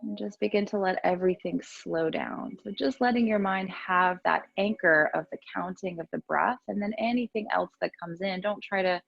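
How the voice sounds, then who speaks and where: A woman teaching yoga and meditation, giving gentle, soothing, unhurried guidance with pauses between instructions. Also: mid-range voice, vocal fry, no room sound, highs cut off.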